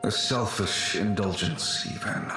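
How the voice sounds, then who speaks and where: A man's voice: lowish voice, measured pace. A man voicing the melancholy ghost of an ancient warrior in a video game, speaking solemnly and formally with sighs. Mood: sorrowful, solemn. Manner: solemn, sighing, formal and archaic. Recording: heavy echo, music underneath.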